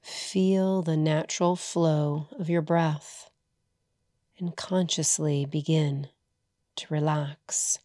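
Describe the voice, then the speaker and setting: A woman leading a guided meditation as a yoga nidra teacher, soothing and hushed, leaving long pauses between short phrases. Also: sits low, fairly even intonation, no room sound, clean studio sound.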